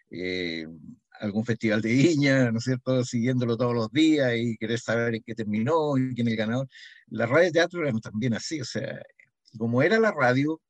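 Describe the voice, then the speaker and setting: A man's voice: mid-range voice, no echo. An older man with a Chilean accent, reminiscing conversationally as an interview guest and explaining things through examples, often checking that he is followed. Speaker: interview guest, local heritage association member.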